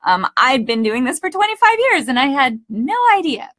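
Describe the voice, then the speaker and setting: A woman's voice: high-pitched, very animated, moderate pace, fairly bright tone. A woman coach giving an informal online class presentation, talking in a chatty, emphatic and enthusiastic way.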